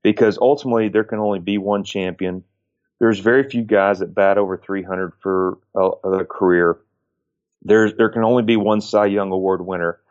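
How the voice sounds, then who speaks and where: Man, late 40s, low-ish voice. Earnest, reflective ex-athlete talking through advice; muffled lo-fi audio. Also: fairly even intonation, moderate pace, darkish tone. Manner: earnest, repeats and restarts phrases, lists points. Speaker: former pro baseball pitcher, guest.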